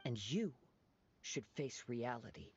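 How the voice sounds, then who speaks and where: An actor playing a calm, principled young wandering samurai in a video game, speaking in a measured, earnest, formal way. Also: male voice, medium pitch, lo-fi sound, slight background noise, natural voice. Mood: stern, reproving. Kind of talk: dramatic video game voice acting.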